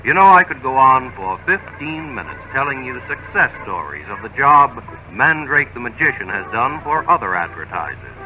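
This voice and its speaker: A male radio announcer giving an earnest, formal sponsor pitch and then narrating the scene. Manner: earnest, persuasive, formal delivery. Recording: dull old radio recording.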